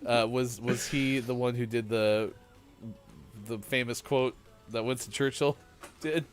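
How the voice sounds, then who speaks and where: A man's voice: medium pitch, steady tone, a little slow, faint music behind. A man co-hosting a morning show, bantering casually in a joking, rambling, mock-serious manner.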